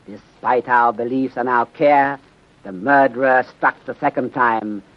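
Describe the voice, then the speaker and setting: A man playing a wise, courteous middle-aged Chinese detective in 1930s radio drama, speaking formally and deliberately in clipped sentences that drop their articles. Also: medium pitch, lightly acted. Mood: grave, resolute. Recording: dull muffled 1930s radio recording.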